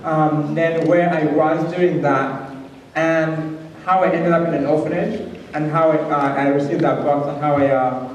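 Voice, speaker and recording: A man from Rwanda with a Rwandan accent gives an earnest, halting personal testimony as a guest speaker in church. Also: fairly high pitch, level tone, dull audio. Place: large church hall, speaking to an audience. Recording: very echoey hall, distant mic, faint noise.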